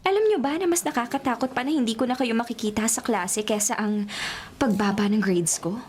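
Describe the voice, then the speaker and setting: Woman playing a high-voiced, lovestruck college girl. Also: brisk, a little mellow, slight background noise, natural voice. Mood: cheeky, determined.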